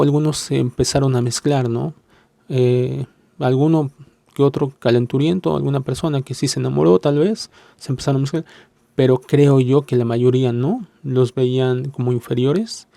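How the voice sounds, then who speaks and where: A man's voice: medium pitch, vocal fry, dark tone, dry recording. A Mexican man with a Mexican accent, hosting a casual reaction video, talking hesitantly with many fillers and playing down his own opinion. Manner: hesitant, lots of filler sounds and restarts; self-deprecating, hedges his opinions.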